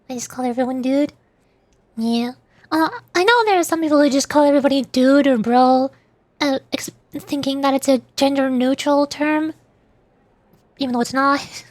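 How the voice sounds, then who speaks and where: A young woman chatting casually on her livestream, her voice high and light. She rambles a little, with fillers and restarts, and the sound is close and dry. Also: no room sound. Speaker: VTuber streamer.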